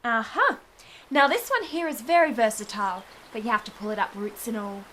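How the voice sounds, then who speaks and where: A woman's voice: a little high, fairly quick, background noise, natural voice. A woman playing a flirtatious, affectionate lady in a period radio play, with a teasing, genteel, theatrical manner. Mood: eager, instructive.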